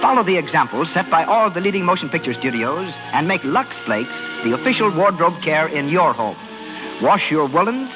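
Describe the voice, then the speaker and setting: An American man announcing a radio show and its advertising in a formal, emphatic 1930s style. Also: sits high. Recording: dull vintage radio recording.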